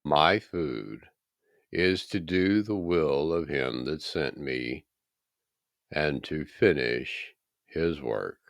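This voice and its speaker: A man voicing a calm, authoritative holy teacher in a scripture reading, grave and deliberate. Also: rumbling low, gravelly, no echo, clean studio sound, exaggerated voice. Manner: grave, deliberate, pausing between phrases.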